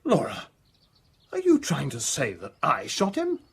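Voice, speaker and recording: A man's voice: on the high side, huge pitch swings, a little slow, gravelly, breathy edge, exaggerated voice. A British man playing an anxious, earnest lover of a murdered man's wife in a radio drama. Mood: agitated.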